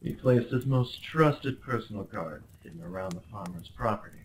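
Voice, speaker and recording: A man's voice: lowish voice, expressive, moderate pace, a little hiss or hum, close to an everyday voice, poor audio. A man playing a young, obsessive medieval prince in a dramatic ASMR roleplay, speaking in courtly, theatrical phrases with a possessive, menacingly tender undertone. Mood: calculating. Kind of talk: dramatic ASMR roleplay monologue addressed to the listener.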